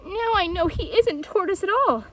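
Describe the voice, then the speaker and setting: A woman's voice: extremely high pitch, very animated, normal speed, fairly bright tone, slight background noise, voice pushed up, full character voice, poor audio. A woman voicing an earnest, easily puzzled young jaguar in a children's storybook, with an emphatic, childlike, petulant manner. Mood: muddled, exasperated.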